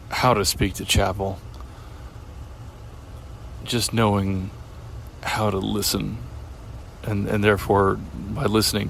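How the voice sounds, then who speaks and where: A man with a very deep voice calling in, speaking slowly and haltingly with heartfelt care. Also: gravelly, a little hiss or hum. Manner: slow, measured, halting. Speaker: caller. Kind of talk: heartfelt call-in comment.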